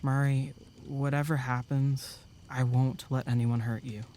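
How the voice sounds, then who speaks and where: An actor plays an earnest young male camp counselor in an audio drama. He talks slowly in a mid-range voice, protective and a little hesitant, over faint background ambience. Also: mid-range voice, flat delivery, warm tone, slight background noise, natural voice.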